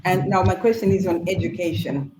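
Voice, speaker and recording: A woman's voice: fairly low pitch, fairly quick, very noisy. Older woman moderating a panel, echoey room. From Zambia and Zimbabwe. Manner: reflective, storytelling, inviting guests in. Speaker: talk show moderator. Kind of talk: panel discussion.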